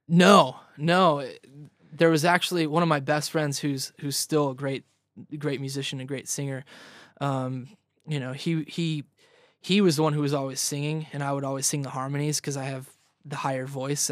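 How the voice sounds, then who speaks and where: A young man with a somewhat high voice talks loosely in an interview, tripping over and repeating his words as he goes. The sound is dry and close, with no room around it. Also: fairly even intonation, normal speed, fairly bright tone, dry recording. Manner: rambling, repeats words, laughs often. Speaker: guest musician. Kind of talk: casual interview chat.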